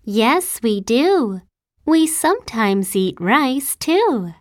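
A woman voicing a cheerful young schoolgirl from Italy, in a slow, clearly articulated listening-test dialogue. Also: on the high side, sing-song, no room sound, studio quality, exaggerated voice.

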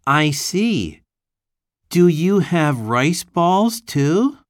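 A woman voicing a friendly young schoolboy from Japan, in a slow, carefully enunciated listening-test dialogue. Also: fairly low pitch, sing-song, dry recording, clean studio sound, heavily acted.

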